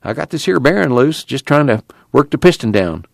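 A man voicing an eager, excitable young mechanic with folksy rural speech in an audiobook. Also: mid-range voice, fairly quick, gravelly, dry recording, clean studio sound, pitched above the performer's own voice. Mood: busy, practical. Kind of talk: acted audiobook dialogue.

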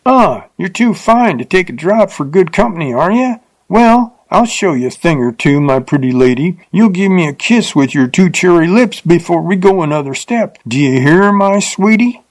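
Guy doing a loud high leering drunk cowpoke, rough drawl, jeering. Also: lively intonation, a little slow, no echo. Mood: drunken menace. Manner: coarse rustic drawl, jeering. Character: drunk crude male frontier rider, leering and impudent.

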